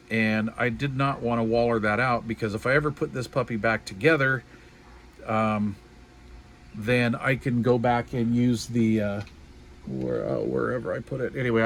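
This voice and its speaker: A man's voice: mid-range voice, fairly bright tone, a little hiss or hum. A man giving a casual, unscripted hobbyist show-and-tell in a folksy, colloquial, self-deprecating way. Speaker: hobbyist showing a DIY radio build.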